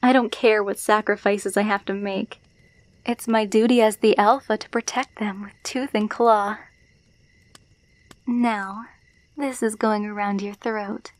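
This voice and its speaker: A woman's voice: mid-range voice, fairly even intonation, unhurried, no echo, close to an everyday voice. A woman playing a possessive alpha werewolf in an ASMR roleplay, taunting and condescending as she coos pet names. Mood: possessive, smug. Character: dominant, possessive female alpha werewolf, teasing and menacing.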